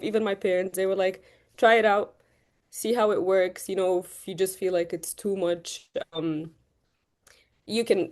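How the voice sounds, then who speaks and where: Chatty young woman, Romanian-born guest. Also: on the low side. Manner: chatty, many "like" fillers. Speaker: guest, medical resident. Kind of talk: casual interview answers.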